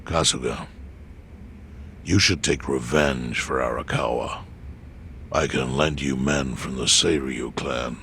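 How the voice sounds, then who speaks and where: An elderly yakuza chairman in a game cutscene. The voice is extremely deep and full of gravel. He speaks with weary gravity, deliberate and composed. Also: fairly even intonation, fairly bright tone, slight background noise, heavily acted. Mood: grave, measured. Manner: measured, solemn, deliberate. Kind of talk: dramatic game voice acting.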